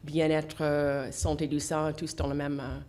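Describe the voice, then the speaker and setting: Radio guest: middle-aged woman, English accent, very low voice, hesitant, lots of fillers. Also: flat delivery, darkish tone. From English-speaking country. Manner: halting, many fillers and restarts. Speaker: association founder, guest. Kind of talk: radio interview. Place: radio studio.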